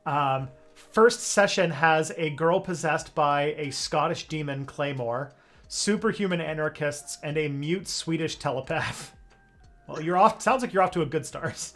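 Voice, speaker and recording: A man hosting a livestream in loose, joking chat. He pads his talk with fillers and speaks in a fairly high voice. Soft music plays faintly in the background. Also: measured pace. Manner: chatty, filler-heavy, joking. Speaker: livestream host.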